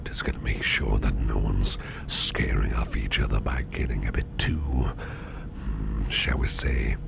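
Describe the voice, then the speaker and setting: A man playing an attentive, easygoing businessman boss in a soft, breathy, whispery ASMR roleplay, unhurried and soothing, murmuring close to the microphone. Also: steady tone, very noisy, full character voice. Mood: warm, indulgent. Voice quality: very low, gravelly, breathy near-whisper. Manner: unhurried, soothing, indulgent, musing aloud.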